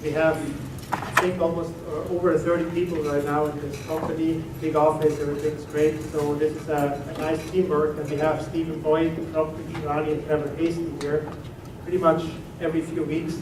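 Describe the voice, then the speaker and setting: A man's voice: fairly high pitch, normal speed, few pauses. Swiss-accented man speaking in an echoey room. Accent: Swiss German. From Switzerland. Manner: relaxed and conversational, with frequent "uh" and "um". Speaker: presenter at a tech meetup. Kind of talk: technical talk to an audience. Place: meeting room at a company office. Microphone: room recording from a distance. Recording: echoey room from far off, with background noise.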